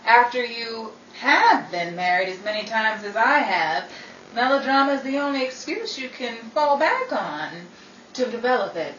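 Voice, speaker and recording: A roomy, slightly dull recording of an actress as a much-married, melodramatic woman from a 1930s-style radio play. She is wry and theatrical, bossing her friend about out of loyalty. Her voice sits fairly high. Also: big pitch swings, normal speed, a bit bright. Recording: dull, roomy, distant, with faint noise.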